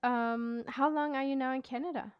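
A woman's voice: high-pitched, fairly even intonation, normal speed, dull audio, crowd noise. A woman hosting a podcast, friendly and chatty, asking questions with little laughs and backchannels. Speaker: podcast host. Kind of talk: casual interview conversation.

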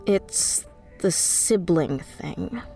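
A matter-of-fact woman on a team in a fiction podcast. Her voice sits fairly low, with faint music behind it. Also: animated, extremely slow, creaky, warm tone, full character voice, rough recording. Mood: knowing. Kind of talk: audio drama acting.

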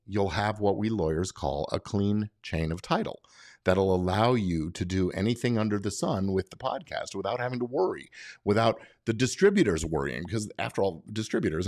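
A man's voice: lowish voice, a little mellow, no room sound, clean studio sound. A man hosting a podcast as a lawyer, explaining things in an informal, plain-spoken monologue.

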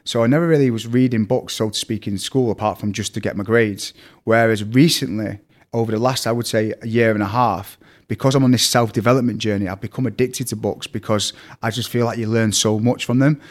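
A young man with a strong Mancunian accent, telling stories as a podcast guest in fast, scattered casual chat. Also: lowish voice, fairly even intonation. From Manchester, England. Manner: fast, scattered storytelling with fillers.

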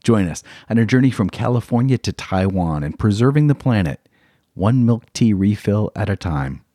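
A man introducing a podcast episode in a measured, dramatic scripted narration. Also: lowish voice, animated, warm tone, no room sound, clean studio sound. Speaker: podcast host.

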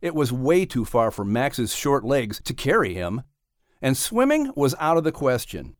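A man reading a children's story as an audiobook narrator, with expressive, dramatic delivery. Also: medium pitch, unhurried, no echo, clean studio sound.

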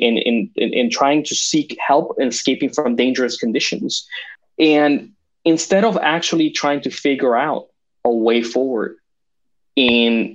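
Venezuelan-born guy, mid-pitched voice, fired up about politics, keeps restarting himself, kinda muffled audio. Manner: passionate, many restarts and fillers. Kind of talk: political discussion.

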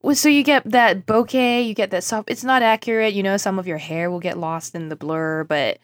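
A woman from Singapore chatting casually as a podcast guest, informal and animated in manner. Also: medium pitch, a little fast, dry recording, clean studio sound. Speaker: podcast guest, tech journalist. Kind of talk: casual chat.

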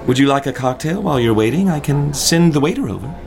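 Lively, officious male hotel concierge, acted. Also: fairly high pitch, fast talker, vocal fry, soft background music. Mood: polite. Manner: polite, officious. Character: brisk, formal hotel concierge.

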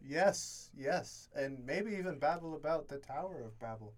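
A man in his twenties co-hosting a casual podcast from a college dorm room, easygoing and quick to laugh, with many fillers. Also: a little high, expressive. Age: 20s. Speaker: podcast co-host. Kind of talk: casual chat.

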